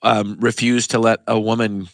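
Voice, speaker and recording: A man who hosts a health podcast and works as a pharmacist, chatting in an animated, emphatic way as he tells stories. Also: lowish voice, normal speed, no echo, clean studio sound. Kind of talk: casual interview chat.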